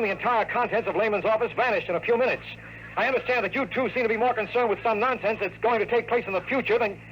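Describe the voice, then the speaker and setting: A man's voice: high-pitched, a bit bright. An actor playing a streetwise, impatient tough guy in 1950s radio drama, with clipped, sardonic, slangy talk. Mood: fed up. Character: tough, wry, streetwise hardboiled man, impatient. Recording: noisy old radio drama recording.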